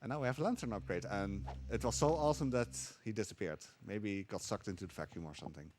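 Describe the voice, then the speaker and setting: A man calmly explaining tricks while playing a game live, with frequent fillers. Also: on the low side, quick pace, slight background noise. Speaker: speedrunner. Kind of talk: live speedrun commentary.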